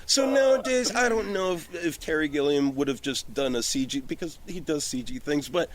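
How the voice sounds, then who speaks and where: A man's voice: a little high, lively intonation, normal speed. A man talking casually and emphatically as a podcast guest, opinionated, prone to digressions and swearing. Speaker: podcast guest, film director. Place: outdoors on a porch.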